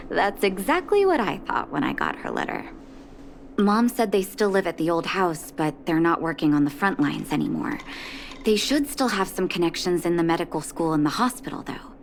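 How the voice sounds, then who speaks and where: An actress playing a gentle, composed young woman doctor in a video game, speaking softly and with measured care. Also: lowish voice, very animated, creaky, a little hiss or hum. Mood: hopeful, warm. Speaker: game character, underground doctor. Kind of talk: acted video game dialogue. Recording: studio voice over game music.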